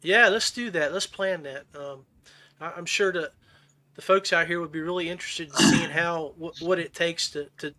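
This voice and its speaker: Higher-voiced man hosting a casual podcast. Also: moderate pace, sharp tone. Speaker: podcast host. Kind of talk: casual chat.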